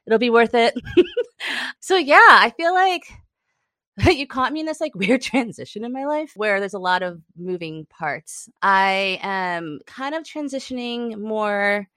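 A woman's voice: a little high, lively intonation, a little slow, pushed and bright, no echo, studio quality. A young adult woman, a climbing guide, chatting casually in an interview, often laughing and saying "like".

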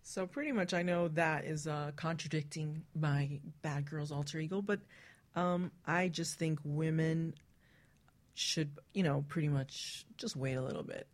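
A woman's voice: deep voice, unhurried. A woman hosting a casual radio panel chat, talking bluntly and swearing freely. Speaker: radio show host.

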